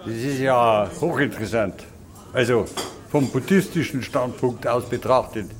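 An actor playing a pompous, mock-learned pub regular in an audio drama. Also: male voice, mid-range voice, a little slow, crowd noise, natural voice. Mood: pompous musing. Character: pompous, tipsy male regular at philosophers' table.

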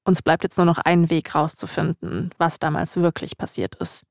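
A woman's voice: deep voice, expressive, normal speed, gravelly, darkish tone, dry recording, clean studio sound. A woman narrating a podcast from a script in a wry way, as its host. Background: music bed.

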